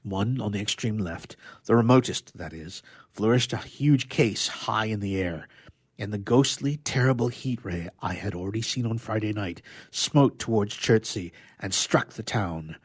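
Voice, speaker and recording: A man reading an excerpt from a novel in a measured, expressive, dramatic narrating style. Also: lowish voice, husky, no room sound, studio quality. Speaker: radio player reading a literary excerpt.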